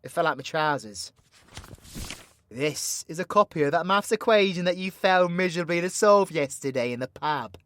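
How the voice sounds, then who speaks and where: A British man playing a cocky, foul-mouthed dark-magic warlock in an audio roleplay, with slangy, teasing, proud delivery. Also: on the high side, lively intonation, moderate pace, no echo. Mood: mocking, teasing. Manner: cheeky slangy banter, swinging between hushed menace and loud scolding. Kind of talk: roleplay ASMR audio drama.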